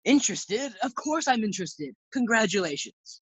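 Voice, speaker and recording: A woman's voice: on the high side, big pitch swings, moderate pace, slightly rough, no echo. A woman acting a breezy, girl-chasing bachelor man in a 1940s-style radio sitcom. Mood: astonished. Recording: slightly dull, lo-fi sound.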